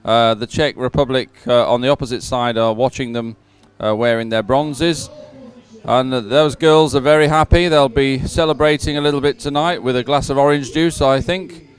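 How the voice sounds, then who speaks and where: A man's voice: medium pitch, fairly even intonation, moderate pace. A man hosting live sports coverage, chatting casually and commentating with a lightly joking manner. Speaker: host and commentator.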